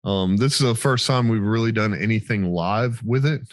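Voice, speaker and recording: A man's voice: lowish voice, normal speed, dry recording, studio quality. An American man hosting a veterans' podcast in casual, sweary, storytelling chat. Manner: informal, sweary. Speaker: podcast host, military veteran.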